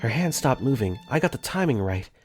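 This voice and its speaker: A man narrating his own story in first person, earnest and quick to get flustered. His pitch moves a lot as he tells it. Soft music plays behind him. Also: sing-song. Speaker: narrator and lead in fan dub.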